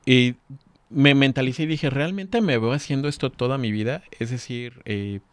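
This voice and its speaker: A man's voice: medium pitch, normal speed, background noise. A man, telling his story as a guest in an earnest, searching way. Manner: earnest, restarts words while thinking. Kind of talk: casual conversation.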